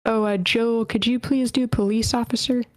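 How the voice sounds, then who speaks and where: A woman's voice: on the high side, steady tone, normal speed, no echo. A woman casually hosting an online table read and organizing the readers. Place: online meeting.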